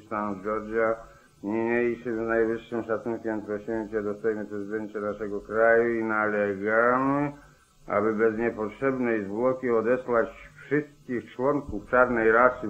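An actor playing a weary, grumbling small-town sheriff in a 1940s radio play. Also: male voice, lowish voice, natural voice. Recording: dull, lo-fi old radio recording.

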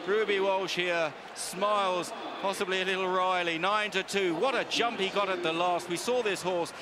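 A man giving live horse racing commentary, calling the runners, then summing up the finish. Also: high voice. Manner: builds from steady calling to rapid excited shouting. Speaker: race commentator. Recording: loud outdoor racecourse noise.

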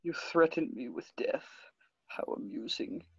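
A man voicing an ancient alien Sith master in a fan table read, raspy and creaky, mocking and menacing in manner, over a video call. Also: a little high, animated, no echo, highs cut off, full character voice. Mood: mocking menace. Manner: slow, menacing, amused. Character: ancient spindly alien Sith master, seven thousand years old, mocking.

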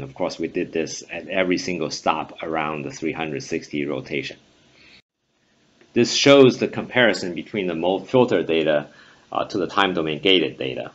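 A man presenting a technical conference talk over slides, measured and full of "uh" fillers. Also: very deep voice, fairly bright tone. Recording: dull lo-fi voice-over recording.

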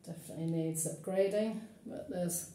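A woman's voice: on the low side, moderate pace, dark tone, slight background noise. A woman estate agent walking a camera through an empty townhouse and pointing out its features in a practical, matter-of-fact way, filmed on a handheld phone. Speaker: estate agent giving a property tour. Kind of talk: walkthrough tour commentary. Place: empty, run-down townhouse indoors. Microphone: phone camera held while walking. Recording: echoey bare rooms, faint ambience.

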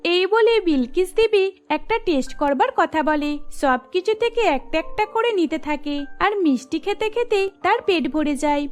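A woman's voice: extremely high pitch, lively intonation, measured pace, soft background music. A woman reading scripted narration for a cartoon story as its storyteller.

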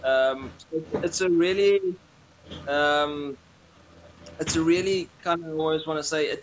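A young man with a South African accent chatting relaxed on a video call, rambling with many restarts. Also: fairly high pitch, unhurried, a little hiss or hum, poor audio. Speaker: guest. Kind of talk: casual video call chat. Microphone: webcam on video call.

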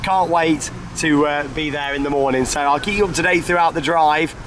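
A young man talks to the camera from the driver's seat, upbeat and chatty, his voice sitting a little high. Road and engine noise hum clearly under his words. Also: steady tone, brisk, fairly bright tone. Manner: chatty, upbeat, many fillers. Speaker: vlog host. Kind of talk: casual travel vlog narration. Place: inside a car on the road. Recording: car and road noise behind.

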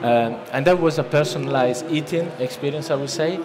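A man's voice: on the high side, brisk, a crowd in the background. A man in his thirties with an Italian accent, giving a loose, conversational seminar talk from a lectern, full of restarts and fillers. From Italy. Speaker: conference presenter. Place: large conference hall, at a lectern. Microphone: lectern microphone. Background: listening audience.

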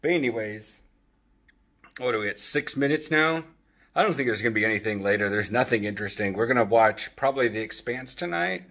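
A man in his thirties chatting casually in a vlog with an animated, jokey manner, recording on a phone at arm's length in an apartment. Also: lowish voice, brisk. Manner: animated, jokey, acts out quotes. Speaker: vlogger. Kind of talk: casual rambling vlog chat. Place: open-plan apartment living room and kitchen.

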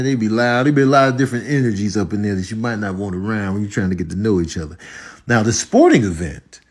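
A man's voice: medium pitch, darkish tone. A man with an American accent giving a casual comedic opinion monologue in conversational slang, repeating phrases for emphasis. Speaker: comedic video commentator.

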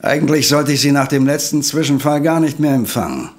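An actor playing a grumpy, resentful police inspector in a German audio drama, gruff, curt and irritated. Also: male voice, a little high, fairly quick, close to an everyday voice.

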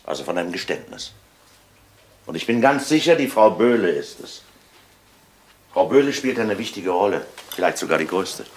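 An actor playing an insistent police inspector in a German crime drama, speaking in a commanding, deliberate way. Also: male voice, low-pitched, very animated. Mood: urgent, commanding. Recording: noisy vintage crime drama soundtrack.

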